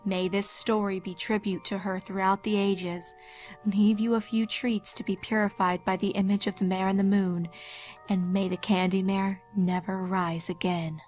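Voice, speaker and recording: A woman's voice: mid-range voice, little pitch movement, normal speed, a little mellow. Woman narrating a story over faint music. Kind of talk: scripted fan fiction narration.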